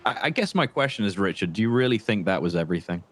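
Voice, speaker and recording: Guy roleplaying deep voiced bitter ex cop gone criminal, slow deliberate talk, condescending and menacing, stumbles over words on purpose. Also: big pitch swings. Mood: menacing, boastful. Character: menacing, bitter former state trooper turned confident criminal. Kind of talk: improvised crime roleplay phone conversation.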